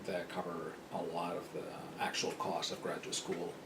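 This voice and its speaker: A middle-aged man from Spain speaking reflectively in a documentary interview, with a hesitant, halting delivery. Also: little pitch movement. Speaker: interviewee, university employee. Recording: noisy, rumbling, distant mic.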